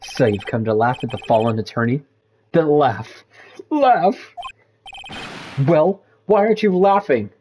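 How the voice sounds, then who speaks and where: A man's voice: mid-range voice, moderate pace, mellow, a little hiss or hum, voice pushed down, lightly acted. A man voicing a proud, bitter young male prosecutor in a video game, haughty and theatrical.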